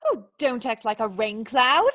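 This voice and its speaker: Radio play actress for a young noblewoman: high register, formal, defensive, secretly knightly and proud. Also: sing-song, a little slow, fairly bright tone, heavily acted. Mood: stubborn. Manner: earnest, protesting, ladylike formal speech.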